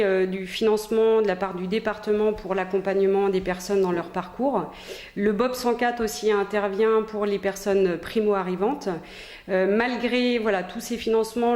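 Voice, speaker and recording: Staff presenter, female, medium pitch, explanatory with frequent pauses, roomy echo. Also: fairly even intonation, darkish tone. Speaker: social centre staff presenter. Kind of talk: explanatory interview talk.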